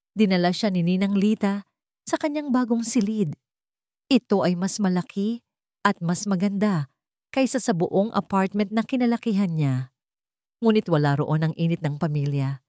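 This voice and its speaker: A woman's voice: mid-range voice, darkish tone, dry recording, clean studio sound. A woman reading scripted, dramatic narration as the storyteller of a romance story.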